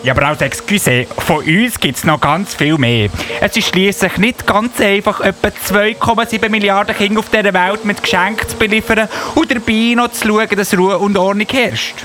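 A man's voice: sits high, normal speed, pushed and bright. A man with a Swiss accent playing a fussy, self-important elf policeman in a radio play, indignant and officious. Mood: flustered, indignant. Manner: indignant, officious, with repeated verbal tic. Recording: noticeable buzzy background noise.

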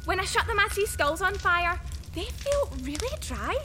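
A woman's voice: very high-pitched, expressive, pushed and bright, a little hiss or hum, full character voice. A woman playing a gleefully sadistic, unhinged young woman in a horror radio play, taunting and gloating as she draws out her words. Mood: gloating menace. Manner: taunting, gloating, drawn-out delivery. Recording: dramatic music behind.

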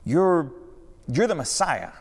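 A man's voice: fairly high pitch, very animated, slow, slightly rough, in a large hall, pitched above the performer's own voice, full character voice. A man voicing Peter, an anxious male disciple who sputters and insists, in a storybook reading. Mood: pleading.

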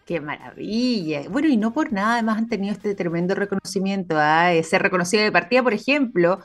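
Chilean woman, morning-show host, middle pitch, chatty with many fillers, faint music underneath. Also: lively intonation. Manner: chatty, lots of filler pauses.

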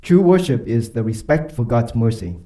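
Male pastor, deeply warm dark timbre, earnest and emphatic preaching, slows for weight. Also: lively intonation. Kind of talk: sermon and closing prayer.

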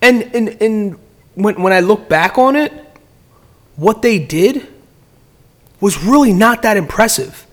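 A man's voice: sits high, lively intonation, slow, long pauses, fairly bright tone. A man in casual podcast chat, giving business advice in a rambling way, often repeating words and restarting sentences.

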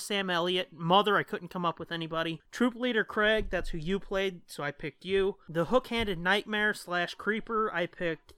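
Guy doing a casual podcast, swears a lot, clear bright voice, slangy. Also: sits high, no room sound. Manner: profane, slangy. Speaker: podcast host.

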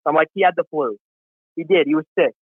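A man calls in to a boxing show over a thin, tinny phone line. His voice is fairly high and dry. He rambles and boasts with excitement. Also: fast, husky, dry recording. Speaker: caller. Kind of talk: call-in chat.

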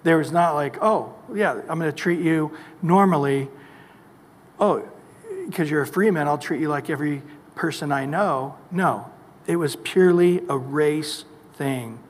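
A man's voice: fairly high pitch, a little slow, in a large hall. A male pastor preaching a sermon emphatically, asking the congregation rhetorical questions. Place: church.

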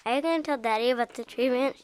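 A man's voice: very high-pitched, very fast, smooth, no echo, studio quality. A young boy actor playing a six-year-old boy, earnest and questioning, in an amateur family audio drama. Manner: questioning, whiny, innocent. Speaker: child actor.

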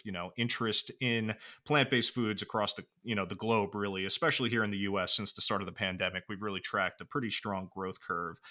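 A man's voice: on the low side, bright, forceful tone. A man hosting a podcast interview, upbeat and sprinkling in "you know" fillers. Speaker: podcast host.

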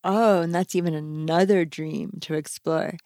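A woman hosts a podcast conversation in a slightly low voice. She affirms what her guest says and laughs easily. The sound is close and dry. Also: fairly even intonation, normal speed, no room sound. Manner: warm, laughs often, affirming. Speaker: podcast host. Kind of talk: casual conversation.

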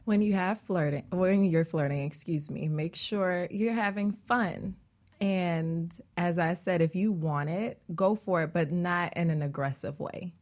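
A woman's voice: lowish voice, unhurried, darkish tone. A woman chatting casually as a guest on a talk show. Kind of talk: casual chat.

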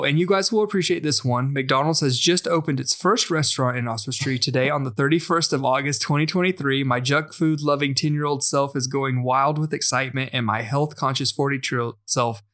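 Male podcast host, informal and conversational, mid-pitched voice, stumbles a little when reading. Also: fairly bright tone. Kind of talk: casual podcast chat, reading out a listener message.

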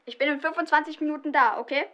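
Boy playing an eager high-voiced caretaker. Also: steady tone, quick pace, bright, forceful tone. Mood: eager, plain. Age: child or young teen. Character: eager male caretaker who catches vandals. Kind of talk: amateur children's radio play acting.

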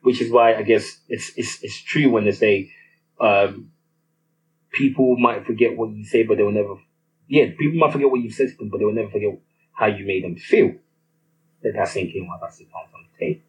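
A man's voice: on the low side, a little fast. A young man with a Nigerian accent, telling a personal story in a hesitant monologue with many restarts. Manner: hesitant, lots of restarts and fillers. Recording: slightly muffled with faint ambient noise.

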